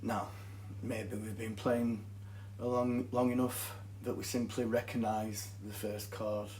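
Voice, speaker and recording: A man's voice: fairly low pitch, flat delivery, measured pace, a little mellow, a little hiss or hum. A man with a thick Yorkshire accent, methodically explaining guitar scales and chords as a teacher. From Yorkshire, England. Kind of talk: guitar tutorial. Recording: echoey room with guitar playing. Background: guitar music.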